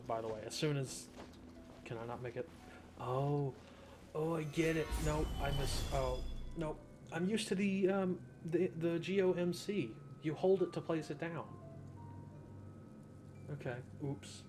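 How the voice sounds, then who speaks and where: Young male streamer, casual play-by-play, joking and excitable, soft game music in the background. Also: mid-range voice. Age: young adult. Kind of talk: casual gameplay commentary.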